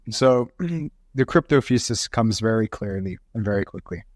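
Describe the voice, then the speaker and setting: A man's voice: lowish voice, lively intonation, normal speed, darkish tone, no echo, clean studio sound. A man hosting a podcast, asking guests questions and sharing opinions enthusiastically. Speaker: podcast host. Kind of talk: casual podcast talk.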